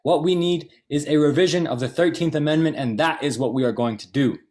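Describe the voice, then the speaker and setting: A man's voice: a little high, fairly quick, a little mellow. A man performing a theatrical political monologue in an earnest, emphatic, oratorical manner. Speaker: solo performer.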